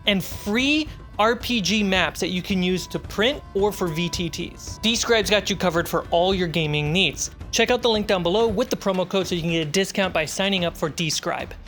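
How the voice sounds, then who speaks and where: A game master with a mid-pitched voice narrates a tabletop fantasy adventure. The delivery is dramatic and measured, broken by pauses, with plucked guitar music underneath. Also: fairly bright tone. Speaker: game master and host of the show. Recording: music behind the voice.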